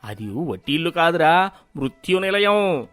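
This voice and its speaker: A man voicing a sly, jealous village schemer in a cartoon moral story, theatrical and ominous with drawn-out emphasis. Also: a little high, sing-song, fairly bright tone, soft background music.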